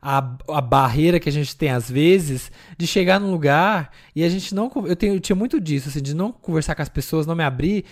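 A man, co-hosting a podcast in animated, chatty conversation full of fillers. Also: on the high side, a little fast, few pauses. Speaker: podcast co-host. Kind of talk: casual chat.

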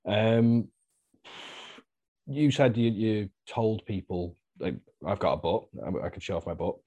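A man hosting a podcast, chatty and relaxed, with lots of fillers and easy laughs. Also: on the low side, a little slow, muffled recording. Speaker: podcast host. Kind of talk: casual interview chat.

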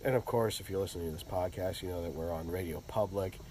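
A man hosting a laid-back podcast, his voice a bit low and thick with a head cold. He rambles easily with plenty of fillers, and there is a faint hum of noise behind him. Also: fast, mellow. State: congested from a cold. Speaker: podcast host. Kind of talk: casual podcast chat.

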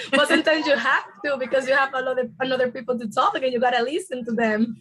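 High-voiced Dominican woman, chatty. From Dominican Republic. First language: Spanish. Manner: chatty and upbeat, laughs often. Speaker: guest. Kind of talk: casual podcast conversation.

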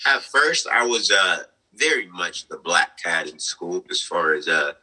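A man in his twenties, a guest on an interview, talking earnestly about his life with many fillers and soft laughs over a remote call. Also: low voice, sing-song, measured pace, low-quality recording. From United States. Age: 20s. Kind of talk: conversational interview, personal story.

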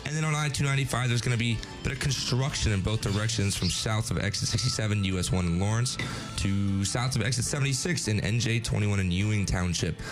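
Deep-voiced male radio traffic reporter. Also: quick pace, music in the background. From New Jersey. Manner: laid-back, casual asides. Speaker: radio co-host reading traffic and gas report.

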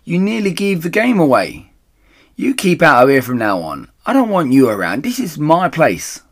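A man's voice: fairly high pitch, huge pitch swings, moderate pace, voice pushed up, exaggerated voice. A man voicing a spiteful, territorial rat in a children's storybook, shrieking and taunting. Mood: scornful.

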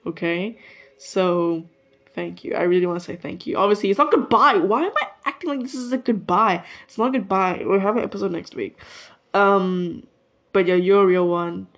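A woman's voice: medium pitch, moderate pace, muffled recording. A nineteen-year-old woman hosting her solo podcast, chatting casually and rambling, breaking off her own sentences. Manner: rambling, chatty, self-interrupting. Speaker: podcast host. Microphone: mic plugged into a phone.

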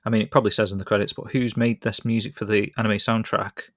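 Chatty British man, low, dry voice. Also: little pitch movement, fast, no echo. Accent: British English. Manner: chatty, rambling, laughs easily. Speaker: podcast host. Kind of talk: casual podcast chat.

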